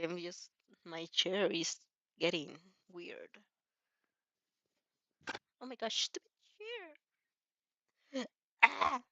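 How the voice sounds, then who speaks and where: Giggly, bright-voiced woman reading fan fiction. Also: medium pitch, no echo, highs cut off. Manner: giggly, excitable, often breaking off to comment. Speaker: channel host and fanfic reader. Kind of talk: reading fan fiction aloud with casual reactions.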